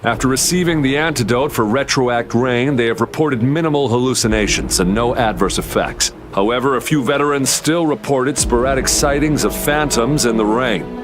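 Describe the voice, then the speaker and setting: A man plays a dutiful officer in a video game. He speaks in a medium-pitched voice with crisp, formal military delivery. Music is clearly audible behind him. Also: normal speed, few pauses, natural voice.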